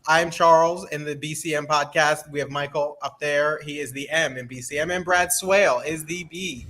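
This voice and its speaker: Bright-voiced man hosting a podcast. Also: on the high side, steady tone, normal speed, a little hiss or hum. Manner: joking, rambling intros with teasing. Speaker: podcast host. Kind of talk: casual political podcast chat.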